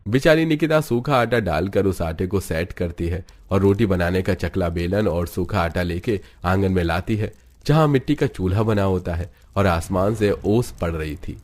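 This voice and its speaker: Deep-voiced male story narrator. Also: lively intonation, moderate pace, hardly any pauses, a little mellow, a little hiss or hum. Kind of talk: scripted cartoon story narration.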